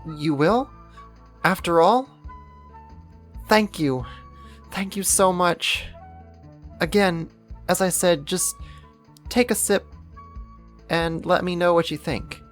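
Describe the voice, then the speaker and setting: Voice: medium pitch, faint music behind, natural voice. A performer playing a possessive yandere neighbor who kidnaps, sweet yet menacing, in an intimate, coaxing ASMR roleplay monologue. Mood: apologetic, grateful. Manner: coaxing, slow, deliberate, condescending endearments.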